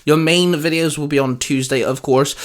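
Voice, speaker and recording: A man's voice: on the high side, fairly even intonation, moderate pace. A man co-hosting in a casual, geeky chat, talking in an enthusiastic, informal way. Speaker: co-host of a hobby video channel.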